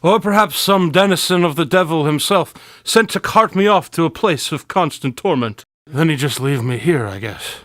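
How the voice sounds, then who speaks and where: An actor playing a pompous, melodramatic, tipsy detective in a radio drama, wordy and theatrical. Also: male voice, a little high, expressive, moderate pace, hardly any pauses, a bit bright, dry recording, studio quality. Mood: melodramatic despair. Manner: theatrical, wordy, self-important.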